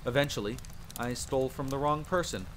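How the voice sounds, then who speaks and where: A man's voice: mid-range voice, a little fast, lightly acted. A man playing a young, brash but good-natured vampire hunter and former street thief, telling his story informally and theatrically in an audio roleplay. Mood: reflective, grave. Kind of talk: dramatic campfire storytelling roleplay. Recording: steady rain and fire ambience noise. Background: rain, rolling thunder, campfire ambience.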